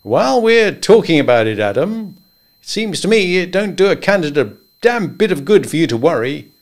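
A man's voice: fairly high pitch, sing-song, moderate pace, voice pushed up. A man voicing a jovial older male draft-board official who talks in a breezy, coaxing way. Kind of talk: audiobook character voice.

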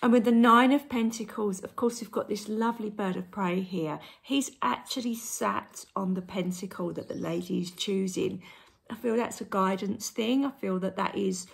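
A woman in her forties with a hoarse, croaky voice, gently talking through a tarot reading to a phone camera at home and often asking rhetorical tag questions. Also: mid-range voice. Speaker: tarot reader. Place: indoor room at home. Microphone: phone camera at arm's length.

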